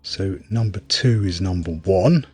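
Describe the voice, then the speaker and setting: Male gameplay commentator, slightly deep and husky, dry humour, loose off-the-cuff delivery. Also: measured pace, mellow. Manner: wry, rambling, thinking aloud. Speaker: game reviewer and player.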